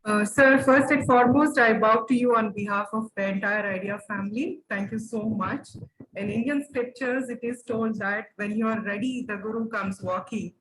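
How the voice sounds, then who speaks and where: A woman's voice: fairly high pitch, normal speed, a bit bright. A woman business coach giving a formal vote of thanks in an effusive, reverent manner. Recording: roomy with faint noise.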